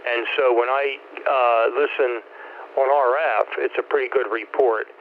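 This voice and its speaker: A man's voice: medium pitch, fairly even intonation, unhurried. Older man on noisy narrow-band ham radio. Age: 70s. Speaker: amateur radio net host. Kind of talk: casual ham radio chat. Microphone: two-way radio.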